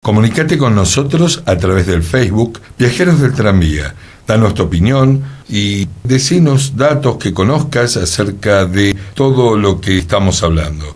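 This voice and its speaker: Argentine guy reading a radio promo, low steady voice. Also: normal speed. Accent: Rioplatense Spanish. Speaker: station announcer. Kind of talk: scripted promo.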